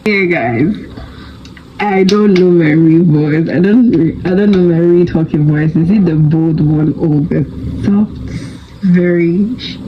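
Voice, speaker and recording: A young woman in her twenties chatting playfully to camera in a casual vlog, laughing often and jumping into high-pitched emphasis. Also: a little slow. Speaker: vlogger. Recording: dull lo-fi sound with clear background noise.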